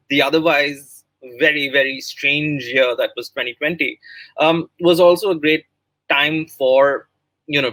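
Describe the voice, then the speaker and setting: Man, Indian accent, middle-aged, bright tone, dry sound, halting explanatory talk. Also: medium pitch, no echo, poor audio. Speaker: writer. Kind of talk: pre-recorded talk about own project.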